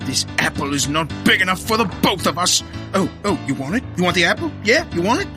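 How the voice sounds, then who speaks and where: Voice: mid-range voice, very animated, fast talker, over music, natural voice. An actor playing a boisterous, childish, exclamatory man in a comic audio drama. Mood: taunting. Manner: exclamatory, taunting, giggly.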